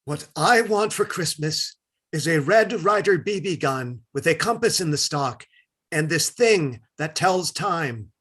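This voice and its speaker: A man's voice: on the high side, expressive, unhurried, a bit bright, breathy edge, full character voice. A man playing an earnest schoolboy with simple, childlike phrasing in a readers theatre performance. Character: earnest schoolboy reciting and dodging his mother.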